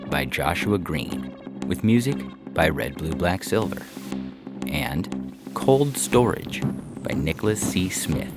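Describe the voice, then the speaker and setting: Man, extremely deep pitch, scripted story narration, podcast reader, clear music bed behind. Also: sing-song, slow. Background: background music.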